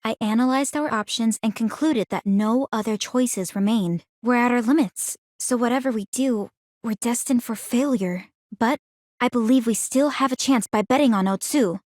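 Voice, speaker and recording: An actress playing a calm, analytical young woman in a video game, speaking in a measured, formal and articulate way. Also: fairly high pitch, dry recording, clean studio sound, close to an everyday voice. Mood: composed, confident. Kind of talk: scripted video game character dialogue.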